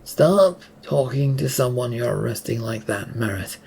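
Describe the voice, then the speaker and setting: A stern male town sheriff played by a narrator, firm and reproving, with a mellow but gravelly voice, in a read-aloud story. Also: mid-range voice, expressive, unhurried, exaggerated voice. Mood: scolding. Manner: firm, scolding.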